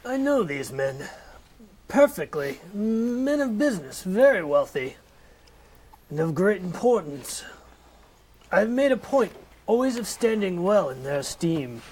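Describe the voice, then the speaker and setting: A man's voice: sits high, sing-song, a little slow, pauses often, a little mellow, a little hiss or hum, low-quality recording. Fussy old miser, stammering and hesitant, read by a man for a podcast radio play. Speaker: lead actor in a comedy reading. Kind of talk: amateur comedic radio play reading.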